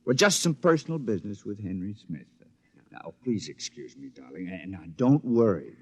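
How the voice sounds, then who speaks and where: An actor playing a scheming robot double of a husband, smooth-talking with feigned hesitations, in 1950s radio drama style. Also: male voice, lowish voice, big pitch swings, moderate pace, darkish tone, no room sound. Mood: evasive, resolute. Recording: dull vintage radio recording.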